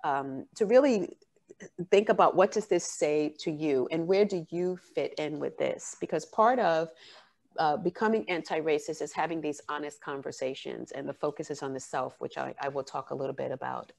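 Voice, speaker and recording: A woman giving an academic keynote talk over a video call, earnest and measured, pausing often with fillers. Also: low-pitched, vocal fry, darkish tone. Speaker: keynote speaker.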